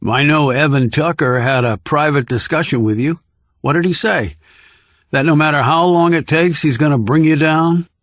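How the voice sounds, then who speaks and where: A man's voice: on the high side, moderate pace, a bit bright, dry recording, natural voice. A man voicing a blunt, skeptical government operative with wry, probing delivery in an audiobook. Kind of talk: audiobook character dialogue.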